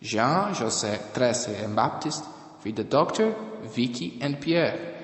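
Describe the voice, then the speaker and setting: Guy reading out an audio story, slow and a bit halting, super echoey. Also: medium pitch, very animated. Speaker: narrator and reader of a fan audio drama. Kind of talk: scripted story narration. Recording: echoey room with long reverb.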